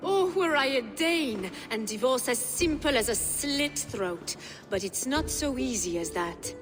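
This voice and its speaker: A woman's voice: high-pitched, lively intonation, measured pace. An actress playing a poised, bitter noblewoman in a video game, speaking in arch, dry, elegant phrases. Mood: bitter, rueful. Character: poised, bitter Frankish noblewoman, unhappy wife. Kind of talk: video game cutscene acting. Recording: long hall reverb, prominent music.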